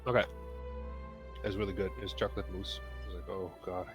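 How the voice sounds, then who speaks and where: An easygoing man around thirty chatting on a live stream and reading out viewer questions. His voice sits somewhat low. Quiet music runs behind him. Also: steady tone, a little fast. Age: 30s. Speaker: mod developer reading chat questions. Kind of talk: casual live stream chat.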